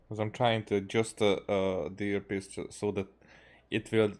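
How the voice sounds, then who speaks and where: Male game streamer, Hungarian native speaker: lowish, unhurried, halting, casual muttered commentary. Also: flat delivery, a little mellow. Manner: halting, muttering, repeats words. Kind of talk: casual live gameplay commentary. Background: game music.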